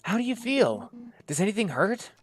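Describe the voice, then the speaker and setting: A man's voice: sits high, big pitch swings, moderate pace, husky, faint music behind, pitched above the performer's own voice, exaggerated voice. Man voicing a bubbly young woman doctor. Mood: caring. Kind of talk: one-man voice acting.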